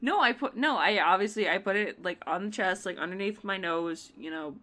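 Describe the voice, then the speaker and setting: A woman's voice: mid-range voice, lively intonation, brisk, bright, forceful tone. A woman co-hosting a casual podcast with friends, rambling through her points with lots of "like" and restarts. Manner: rambling, heavy use of "like". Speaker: podcast co-host. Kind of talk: casual chat among friends.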